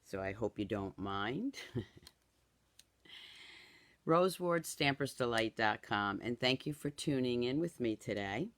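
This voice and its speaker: A woman in her fifties, hoarse and raspy from a cold, chatting through a live craft demo and greeting viewers by name in a home craft room. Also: extremely low pitch, lively intonation, a little slow, long pauses. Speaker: craft product demonstrator. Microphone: camera in front, then overhead camera.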